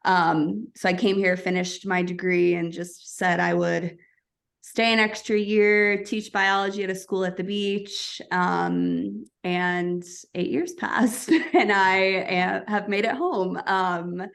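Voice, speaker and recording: A woman talks through her story on a podcast. Her voice has a frequent creaky fry and sits at an ordinary middle pitch. She rambles in a friendly way, full of fillers and small laughs. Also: steady tone, slow. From United States. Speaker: guest, travel agency co-founder. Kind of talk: casual podcast chat.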